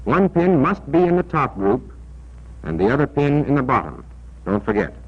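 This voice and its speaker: An American man narrating a 1940s military training film in a scripted, deliberate, instructional and emphatic manner, on an old film soundtrack. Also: mid-range voice, sing-song, breathy edge. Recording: dull, band-limited 1940s film soundtrack.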